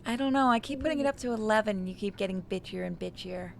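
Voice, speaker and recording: A woman voicing a calm, possessive female kidnapper in a horror game, dry and quietly threatening. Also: medium pitch, quick pace, gravelly, natural voice. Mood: quiet, resigned.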